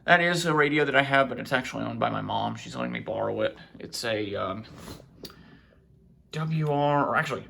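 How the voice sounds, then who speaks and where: A man with a creaky voice giving a casual, halting off-the-cuff show-and-tell as a hobbyist, half talking to himself. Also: mid-range voice, sharp tone. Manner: halting, muttering to himself while handling gear. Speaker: hobbyist collector presenting weather radios. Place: indoors, small cluttered room at home. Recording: faint background noise, clicks and handling sounds.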